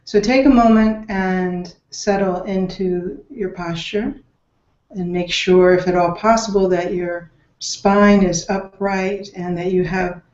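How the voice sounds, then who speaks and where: A woman meditation teacher leading a guided practice in a measured way, with long pauses and gentle directives. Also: lowish voice. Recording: roomy echo, dull lo-fi sound.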